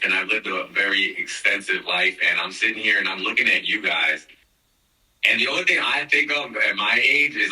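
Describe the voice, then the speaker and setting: Male podcast host, thirties, joking and teasing, somewhat low voice, roomy sound. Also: bright, forceful tone, distant mic. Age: 30s. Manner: joking, teasing, laughs often. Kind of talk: casual podcast chat.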